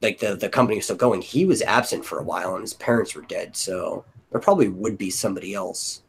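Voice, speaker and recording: Low-pitched, slightly husky man brainstorming casually. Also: fast. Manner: informal, thinking aloud. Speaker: host of an audio drama brainstorming stream. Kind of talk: casual group brainstorming chat.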